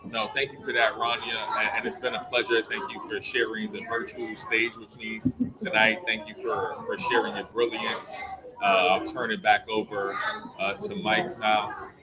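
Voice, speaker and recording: A bilingual man co-hosting a live political discussion, talking in a lively, conversational way. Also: lowish voice, normal speed, over a noisy crowd, low-quality recording. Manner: animated, conversational. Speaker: co-host.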